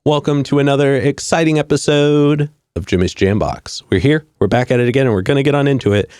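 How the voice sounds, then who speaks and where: Upbeat chummy podcast host, dry sound. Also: male voice, mid-range voice, quick pace, a little mellow, smooth, dry recording. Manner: upbeat, chummy, slangy ("rad", "hell yeah"). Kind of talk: casual podcast hosting.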